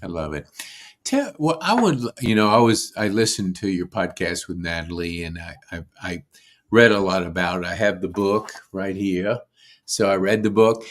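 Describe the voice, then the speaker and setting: A man's voice: low-pitched, animated, normal speed. A man hosting a podcast, asking his guest questions casually and restarting his sentences as he goes. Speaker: podcast host.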